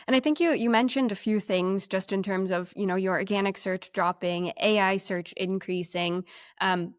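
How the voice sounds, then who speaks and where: A woman's voice: medium pitch, no echo. A woman hosts a webinar in a mid-range voice. She moderates conversationally, and the recording is close and dry with hardly any room sound. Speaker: webinar host.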